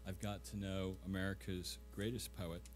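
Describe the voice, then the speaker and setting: A man's voice: low voice, flat delivery, moderate pace, faint music behind. A middle-aged man speaking and reciting as a guest reader at a live poetry event.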